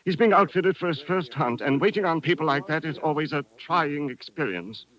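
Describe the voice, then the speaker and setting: An actor playing a polite, long-suffering shop clerk with a formal, confiding manner in an old radio drama. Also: male voice, on the high side, lively intonation, normal speed, a bit bright, rough recording. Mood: confiding, weary. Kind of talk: 1950s radio acting.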